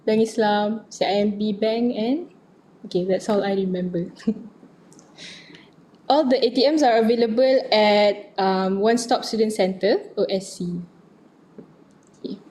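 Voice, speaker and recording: A woman's voice: mid-range voice, measured pace, pauses often, dark tone, a little hiss or hum. A young Malaysian woman with a Malaysian accent, answering student questions in a casual online session, switching between Malay and English. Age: young adult. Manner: mixes Malay and English, reads questions aloud then answers.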